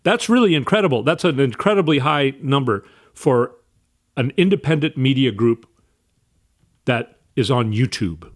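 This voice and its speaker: A man hosting casual political commentary, talking in an animated, exasperated and emphatic way. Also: medium pitch, moderate pace.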